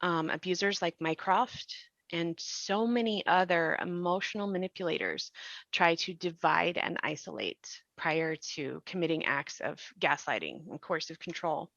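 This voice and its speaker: A woman's voice: on the low side, lively intonation, normal speed, creaky, a bit bright, dry recording, dull audio. A woman in an earnest explanatory discussion, emphatic and given to rhetorical questions. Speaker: podcast creator and actor discussing the show.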